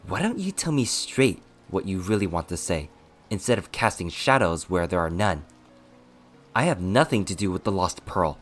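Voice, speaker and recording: A quiet, prickly male servant as a man reads him, low in pitch and tightly controlled. He speaks coldly and deliberately in few words. This is a storybook character. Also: big pitch swings, soft background music. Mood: cold, accusing. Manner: terse, cold, deliberate.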